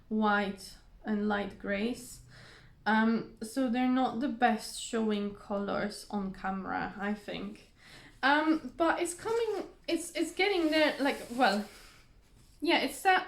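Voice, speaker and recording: A woman's voice: fairly high pitch, gravelly, reverberant. A woman losing her voice from covid, chatting casually and rambling with many ums as she shows her stitching progress. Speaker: cross stitch vlogger.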